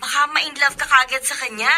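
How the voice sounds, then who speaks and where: An actress playing a cautious, teasing young Filipina student, a protective best friend in a radio drama. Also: female voice, high voice, steady tone, fairly quick, sharp tone. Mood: teasing, cautioning.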